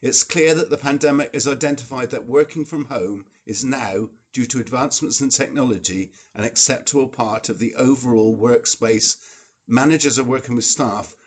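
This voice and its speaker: A man's voice: mid-range voice, normal speed, breathy edge. A man, a council leader, delivering a formal prepared report in a meeting.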